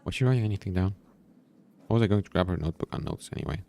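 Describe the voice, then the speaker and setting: A man's voice: sits low, very fast, mellow. A man reading a visual novel aloud as a streamer, with casual asides, in a somewhat strained, tired voice. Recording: background music.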